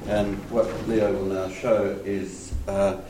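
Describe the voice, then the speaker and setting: A man introducing a colleague at a conference, speaking haltingly with fillers between phrases. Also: fairly low pitch, flat delivery, warm tone, echoey room, distant mic, a little hiss or hum, poor audio. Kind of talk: conference presentation.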